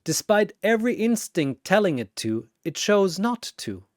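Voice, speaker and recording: A man voicing a calm, motherly female android fighter in a story, speaking in a soft, earnest manner. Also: high-pitched, unhurried, no echo, clean studio sound, voice pushed up. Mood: earnest reassurance.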